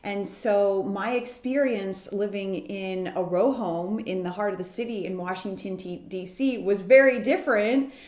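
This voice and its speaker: A woman's voice: mid-range voice, measured pace, few pauses, a little mellow. A woman from the United States, a guest in an interview, telling her story in an earnest, flowing way. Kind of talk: reflective interview talk. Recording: roomy echo.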